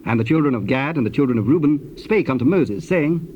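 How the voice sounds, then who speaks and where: A man narrating scripture in a formal, measured way. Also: mid-range voice, lively intonation. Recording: dull, noisy old cassette tape.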